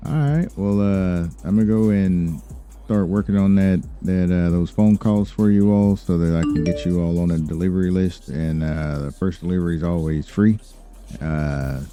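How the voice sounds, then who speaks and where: A man improvising as an obliging lawyer and crime boss in a role-play game, talking business politely and haltingly with many fillers. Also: low voice, mellow, soft background music, natural voice. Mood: businesslike, assured. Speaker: streamer role-playing in a game. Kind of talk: improvised in-character business talk.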